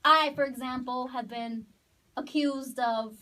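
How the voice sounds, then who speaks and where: A young woman hosting a casual call-in talk show, chatty and animated, often laughing as she talks. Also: sits high, unhurried, far from the mic. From Dominican American, New York.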